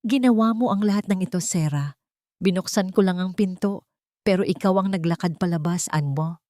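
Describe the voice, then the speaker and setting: A woman voicing a gentle, protective male executive in a narrated love drama, soft-spoken and earnest. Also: on the low side, moderate pace, dry recording, studio quality, natural voice. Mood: encouraging. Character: gentle, protective man, admiring and serious executive.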